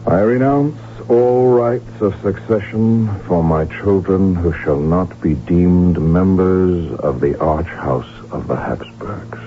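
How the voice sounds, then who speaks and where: An actor playing a proud, impatient middle-aged archduke in a radio drama, formal and emphatic. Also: male voice, sits low, slow pace, few pauses. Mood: solemn. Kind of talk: 1970s radio drama acting. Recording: muffled lo-fi radio broadcast with music.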